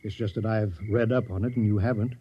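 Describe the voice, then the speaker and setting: An actor playing a flustered, eager-to-please village mayor turned general, raspy and prone to stammering, in old-time radio style. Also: male voice, on the low side, fairly even intonation, fast, dark tone, a little hiss or hum, close to an everyday voice. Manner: fussy, stammering, folksy. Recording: dull old radio recording.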